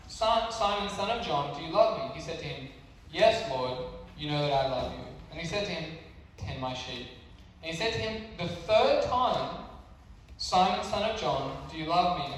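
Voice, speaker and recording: A man's voice: sits high, fairly bright tone. A man leading a youth group, speaking informally and conversationally as he teaches from scripture. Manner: conversational, addressing the group with questions. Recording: very echoey hall, distant mic, faint noise.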